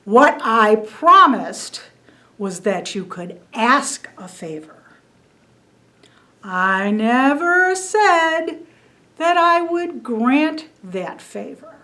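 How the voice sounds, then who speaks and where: A woman voicing a haughty, sly male king of the gods who speaks in a pompous, slow, deliberate way. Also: sits high, very animated, pushed and bright, exaggerated voice.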